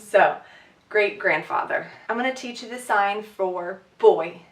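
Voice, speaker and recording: Sick woman teaching from across a room. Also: a little high. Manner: chatty, many "um"s, light laughs. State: sick, sniffly, short of breath. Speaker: sign language teacher. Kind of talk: casual vlog lesson. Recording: echoey room, far from mic.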